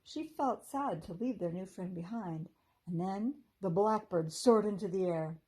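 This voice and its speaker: A woman teacher reading a children's chapter book aloud in a gentle storytelling manner. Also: mid-range voice, unhurried, husky.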